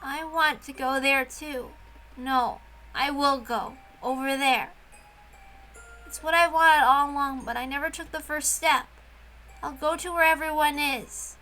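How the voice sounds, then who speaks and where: A woman's voice: sits high, steady tone, unhurried, bright, forceful tone, faint music behind, low-quality recording. A woman voicing a lonely, dazed young girl narrating a visual novel in the first person, wistfully and simply. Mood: dreamy resolve.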